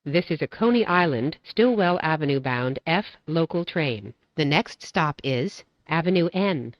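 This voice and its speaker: A woman with a low-pitched voice delivers scripted train announcements. Her delivery is measured and formulaic, like a set transit script. The recording is dry and a little dull. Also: expressive, no room sound.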